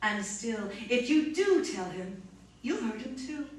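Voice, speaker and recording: A woman's voice: fairly high pitch, big pitch swings, a little slow. A woman acting as a bitter, coldly relentless robot scientist in a radio drama, with intense, biting, dramatic delivery. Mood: relentless, cruel. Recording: echoey, distant, faint noise.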